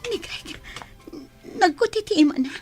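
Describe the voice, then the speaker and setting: A woman's voice: extremely high pitch, expressive, slow, a little mellow, faint music behind, exaggerated voice. A woman playing a devoted, anxious, pleading wife in an audio drama, with a Filipino accent. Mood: anxious, tender. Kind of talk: Ilocano audio drama acting.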